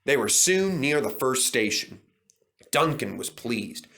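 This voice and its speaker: Brief: male storybook narrator, lively and bright, pitched a bit high. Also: slow. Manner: animated, expressive. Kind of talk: storybook reading.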